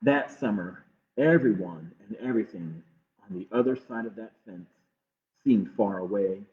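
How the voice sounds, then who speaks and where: A man's voice: lowish voice, moderate pace. Man reading a storybook, phone-like muffled audio. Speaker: library storytime reader. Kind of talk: reading a picture book aloud to children. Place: livestream from a closed-library online program.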